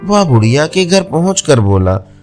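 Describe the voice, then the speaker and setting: A man's voice: fairly high pitch, sing-song, normal speed, a little mellow, faint music behind. A man narrating a scripted folk tale as a storyteller.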